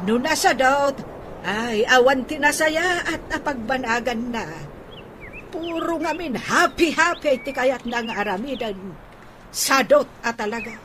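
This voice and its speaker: A woman with an Ilocano accent playing an aggrieved, nagging older housemaid in a radio comedy drama, full of halting interjections. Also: high-pitched, fairly bright tone. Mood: grumbling, gossipy. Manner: halting, peppered with sighing interjections. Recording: noisy background with ambient bird sounds.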